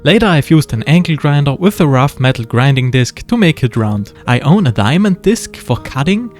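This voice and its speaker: A man with a German accent talks through a miniature build in an easygoing voice-over. His voice sits a little high for a man, and his humour is dry and understated. Faint music plays underneath. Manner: relaxed and wry, with dry jokes. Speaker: model-building video creator. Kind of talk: casual voice-over narration of a craft build. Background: faint background music.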